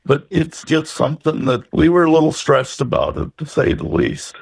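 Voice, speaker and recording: A man's voice: a little high, very animated, moderate pace, gravelly, slightly rough. An older man recalling his own experience in a casual, plainspoken way. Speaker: interview guest, transplant recipient. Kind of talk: casual personal recollection.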